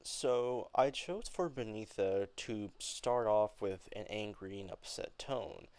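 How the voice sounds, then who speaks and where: A young man with a clear, smooth, mid-pitched voice explains something informally. He speaks haltingly and often rephrases as he goes. The recording is close and dry. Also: a little mellow, no room sound. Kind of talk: informal explanation of an acting reading.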